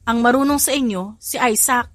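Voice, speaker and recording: A woman voicing an irritable but soft-hearted young mother in a romance audio drama, nagging and exasperated. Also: a little high, normal speed, soft background music.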